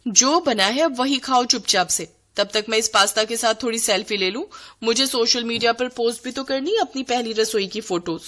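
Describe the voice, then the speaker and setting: A woman's voice: on the high side, moderate pace, a bit bright, lightly acted. A woman voicing a spoiled modern young daughter-in-law in a cartoon story, breezy, bossy and demanding.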